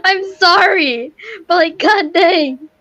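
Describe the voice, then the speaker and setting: A woman's voice: extremely high pitch, takes it slowly, fairly bright tone, no echo. A woman giving casual, slangy gameplay commentary in a giggly, gushing manner. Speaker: gaming streamer.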